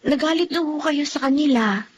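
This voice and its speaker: An actress playing a caring, respectful adult daughter in a Filipino radio drama. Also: female voice, high voice, a little fast, muffled recording, lightly acted. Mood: gentle, concerned.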